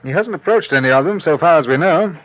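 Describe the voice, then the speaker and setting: An actor playing an affable, understated British colonial police inspector in 1950s radio drama style, with courteous clipped idioms. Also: male voice, on the high side, expressive, fast, highs cut off, close to an everyday voice. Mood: businesslike. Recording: noisy old radio broadcast recording.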